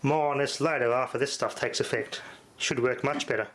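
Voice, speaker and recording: A man's voice: mid-range voice, fairly even intonation, brisk. Australian man, casual talk over background noise. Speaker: host of a repair channel. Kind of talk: casual unscripted demonstration talk.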